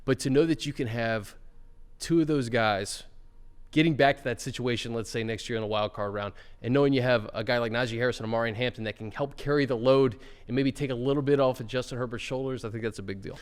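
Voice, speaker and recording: A man hosting a sports talk show, moving from an upbeat welcome into relaxed, conversational football analysis. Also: mid-range voice, fast, clear, resonant tone, in a large hall. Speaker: podcast host.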